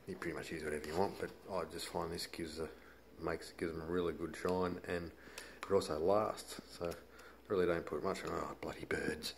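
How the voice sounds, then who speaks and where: A man's voice: low voice, fairly even intonation, brisk, vocal fry, few pauses. An Australian man giving a casual, laid-back hands-on how-to commentary in colloquial language. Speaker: hobbyist demonstrator. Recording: noisy handheld home video.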